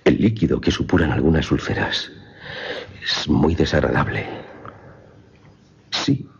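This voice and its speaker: An actor playing a solemn, uneasy doctor in a horror radio play, formal and deliberate, with a deep, gravelly, husky voice. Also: male voice, expressive, dull audio, full character voice. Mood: grave, doubtful. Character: solemn, uneasy male doctor witnessing a hypnotic experiment. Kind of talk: Spanish-language horror radio play acting.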